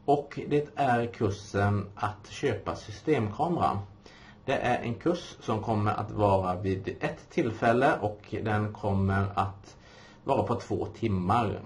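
A man in his forties with a fairly low voice talks to a camera like an instructor introducing a class. He goes haltingly, pausing often and asking questions that he then takes up. There is some background noise in the small room. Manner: halting, explanatory, with frequent pauses and self-posed questions. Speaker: photography course instructor. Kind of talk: informal course presentation to camera. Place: small indoor office room.

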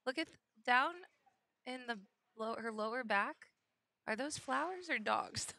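Gravelly-voiced, giggly female bowling commentator. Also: fairly high pitch, unhurried, bright, forceful tone, no echo. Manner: chatty, giggly, easily sidetracked. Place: commentary booth at a bowling center.

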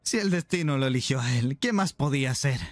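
A man's voice: mid-range voice, lively intonation, brisk, no echo, studio quality, natural voice. A man playing an affectionate, playful and protective pirate captain in an anime roleplay, with music behind him. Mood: tender. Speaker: roleplay performer. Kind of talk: ASMR anime roleplay acting.

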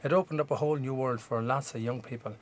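A man's voice: medium pitch, steady tone, quick pace. A middle-aged Irish man speaking reflectively in an interview, with conversational phrasing. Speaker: musician and former pantomime volunteer.

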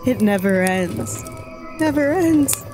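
A woman's voice: high-pitched, lively intonation, slow pace, darkish tone. Woman acting a nervous, weary djinn over music. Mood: panicked. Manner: nervous, hesitant. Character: anxious, shaken female djinn slave, fearful and weary. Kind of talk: audio fiction acting.

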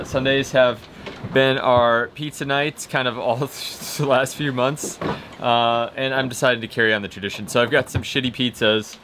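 Guy in his 30s vlogging solo from a boat cabin, dry joking tone, bit of hum behind. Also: mid-range voice. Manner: relaxed, wry, self-mocking. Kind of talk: casual vlog to camera. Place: small boat cabin at sea. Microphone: handheld camera at arm's length.

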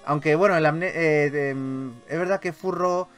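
A man with an accent from Spain giving casual, excitable gaming commentary, repeating his phrases and swearing freely. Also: fairly high pitch, normal speed, smooth. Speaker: gaming YouTuber, host. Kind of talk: casual, excitable Pokémon let's play commentary. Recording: game music behind voice. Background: video game music.

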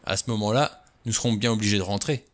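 A man's voice: on the low side, fairly even intonation, quick pace, lightly acted. A man reading a blunt, stubborn suspicious man. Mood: gruff. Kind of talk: audiobook reading.

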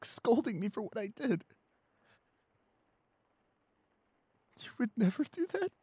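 Man acting a shy, breathy, anxious young boyfriend. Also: extremely high pitch, sing-song, mellow, dry recording, full character voice. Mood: guilty, distraught. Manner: halting, stammering, repeating pleas. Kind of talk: intimate audio roleplay. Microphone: close studio microphone, dry.

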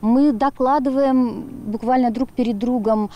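A woman's voice: on the high side, fairly even intonation, unhurried, dark tone. A middle-aged woman giving interview answers outdoors into a reporter's handheld microphone, searching for her words. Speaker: blog author being interviewed. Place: outdoors, grassy yard by apartment blocks.

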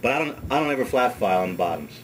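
A middle-aged man, a ski school director, demonstrating a hands-on task in a wood-paneled workshop, talking in a relaxed, chatty way and repeating words as he works. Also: medium pitch, very fast, rough recording. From United States. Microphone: camera mic on a workbench. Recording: camera audio with steady background noise.

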